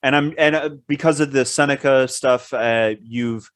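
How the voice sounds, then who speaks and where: A man with an average-pitched voice co-hosts a conversational interview in a dry recording. He sounds thoughtful, rewording his phrases as he builds a question. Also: steady tone, normal speed, clear, resonant tone, dry recording. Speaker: podcast co-host.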